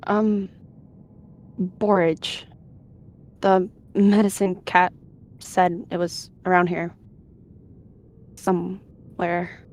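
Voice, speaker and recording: A woman's voice: medium pitch, measured pace, lightly acted. Woman as a whiny, anxious young she-cat. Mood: wary, hesitant. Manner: hesitant, whiny, mumbling. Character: young anxious, huffy female clan cat, medicine apprentice.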